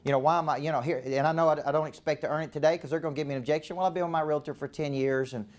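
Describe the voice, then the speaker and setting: A fairly high-voiced man with a Southern American accent coaches a live event crowd. He talks in short, punchy bursts and keeps checking in with the room. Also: fairly even intonation, racing. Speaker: business coach. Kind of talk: live seminar talk to a room.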